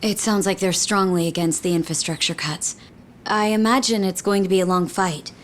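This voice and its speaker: An actress playing a polite, efficient secretary who speaks formally and deferentially in a video game. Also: female voice, on the low side, normal speed, slight background noise, natural voice.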